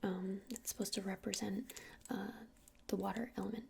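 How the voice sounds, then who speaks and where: A woman whispering breathily and softly in an unhurried, hesitant ASMR roleplay as a gentle crystal shop witch, close to the microphone. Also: mid-range voice, level tone, vocal fry, slight background noise. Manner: hesitant, unhurried, soft-spoken. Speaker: ASMR performer playing a witch shopkeeper.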